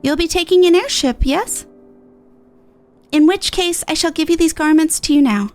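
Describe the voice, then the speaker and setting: A woman voicing a gracious, caring older sister from a fantasy game, with formal, warm delivery. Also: extremely high pitch, moderate pace, voice pushed up. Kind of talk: reading game dialogue. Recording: music in the background.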